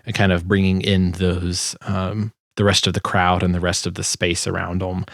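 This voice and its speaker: A man's voice: deep voice, flat delivery, normal speed, creaky, no room sound, clean studio sound. A man giving a casual, explanatory walkthrough as a sound designer presenting his work.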